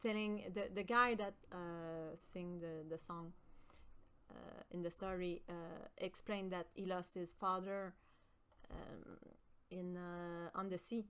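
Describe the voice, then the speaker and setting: A young woman from with a high voice, chatting with her stream viewers and often pausing with fillers. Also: fairly even intonation, fairly bright tone, smooth. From Quebec. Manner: hesitant, many fillers and restarts. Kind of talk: casual chat with stream viewers.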